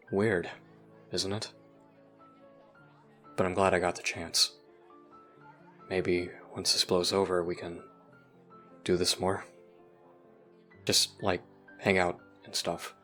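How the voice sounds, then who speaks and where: Casting: male actor as withdrawn teen, dry humour, low bright voice, hesitant delivery, music underneath. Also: heavily acted. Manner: deadpan, hesitant. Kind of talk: audio roleplay acting.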